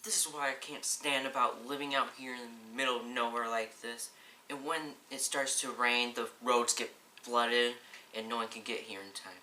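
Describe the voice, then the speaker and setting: A man's voice: medium pitch, steady tone, moderate pace, natural voice. A young man in an amateur school play acting a genial, stubborn middle-aged father and husband. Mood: grumbling. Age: young adult. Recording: echoey, noisy room, distant mic.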